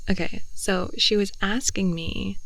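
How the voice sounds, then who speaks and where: A young, talkative girlfriend voiced by a woman rambles affectionately in an intimate ASMR roleplay. She takes her time, with soft fry in her voice and whispery dips. The sound is close and very dry. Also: medium pitch, steady tone, unhurried, no room sound, poor audio. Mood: chatty, musing. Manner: rambling, gossipy, giggly, often dropping into soft whispers. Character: chatty, affectionate young girlfriend on a camping trip. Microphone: close headphone-style 3D ASMR microphone.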